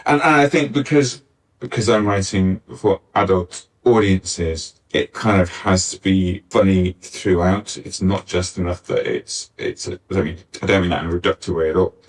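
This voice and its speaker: A man's voice: low-pitched, normal speed. A man talking informally as a panellist at a live discussion, on a distant backup recording. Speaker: panellist, pantomime scriptwriter. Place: arts centre venue, picked up from afar.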